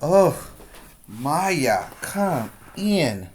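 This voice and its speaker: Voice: mid-range voice, very animated, drawn-out words, slightly rough, heavily acted. A storyteller voicing a patient, playful grandmother coaxing a grumpy child in a read-aloud storybook.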